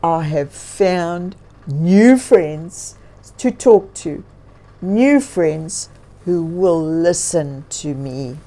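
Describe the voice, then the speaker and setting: Woman voicing a loud, earnest storybook grizzly bear. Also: fairly low pitch, animated, very slow. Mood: happy. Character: big lonely male grizzly bear, remorseful, grateful.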